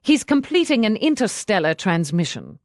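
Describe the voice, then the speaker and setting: A woman's voice: mid-range voice, big pitch swings, normal speed, darkish tone, dry recording, clean studio sound. Woman voicing a stiff, formal manservant. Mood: stiff, reserved. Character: stiff, proud, formal male household servant.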